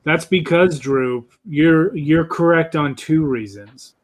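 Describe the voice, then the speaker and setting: A man's voice: a little high, takes it slowly. A man in his thirties hosting a live podcast panel, chatting eagerly and jumping in on others. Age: 30s. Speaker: podcast host. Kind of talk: casual chat.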